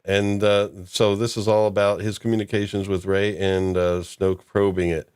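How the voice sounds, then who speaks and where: A man hosting a casual discussion, recapping in a halting, loosely improvised way. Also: fairly low pitch, little pitch movement, darkish tone, smooth, dry recording, clean studio sound. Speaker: host of a discussion show.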